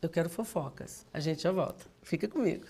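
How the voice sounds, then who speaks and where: Nasal, fast-talking Brazilian woman host. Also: lowish voice, warm tone, a little hiss or hum. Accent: Brazilian Portuguese. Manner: fast, chatty, emphatic. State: congested with a cold, nasal. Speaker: TV talk show host. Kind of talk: live TV interview and read-in ad.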